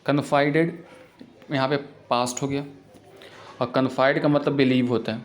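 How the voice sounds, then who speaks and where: A man teaching English grammar for exams, explaining in Hindi and reading English example sentences out slowly. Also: mid-range voice, fairly even intonation. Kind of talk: exam-prep grammar lesson.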